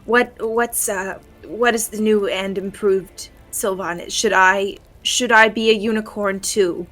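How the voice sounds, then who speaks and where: Anxious, bright-voiced antlered warlock man, played by a woman. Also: medium pitch, steady tone, a little slow, faint music behind. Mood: awkward, eager. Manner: hesitant, stammering, earnest. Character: awkward, anxious male warlock with antlers, Sylvanus.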